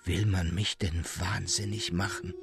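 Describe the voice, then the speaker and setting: A man's voice: low-pitched, fairly even intonation, unhurried, gravelly, over music. A man voicing a horror-struck young woman who mutters hollowly, sighs and cries out at a ghost in a dramatic storybook reading. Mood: despairing terror.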